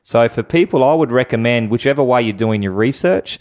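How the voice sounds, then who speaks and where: A man's voice: medium pitch, expressive, fairly quick, a little mellow. A man giving conversational advice as an interview guest, emphatic and fond of rhetorical questions. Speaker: guest business expert.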